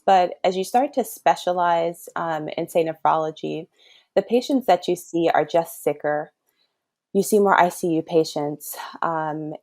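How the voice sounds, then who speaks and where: A woman's voice: lowish voice, dark tone. A woman in her thirties from the south suburbs of Chicago, a children's kidney doctor talking earnestly in a casual interview and laughing easily. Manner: thoughtful, earnest, laughs often. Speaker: guest, pediatric kidney doctor.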